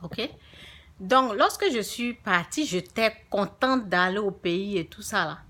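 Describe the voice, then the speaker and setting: A woman's voice: medium pitch, lively intonation, unhurried, a bit bright. A woman from Africa with a francophone African accent, giving an informal spiritual talk to viewers and repeating phrases emphatically. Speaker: religious vlogger.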